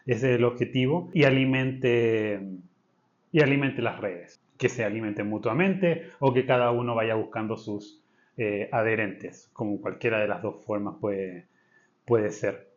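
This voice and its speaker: A man's voice: fairly low pitch, measured pace. A man from Chile, co-hosting a podcast in casual chat. He thinks aloud with restarts and states his principles firmly. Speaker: podcast co-host. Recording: slightly dull lo-fi sound.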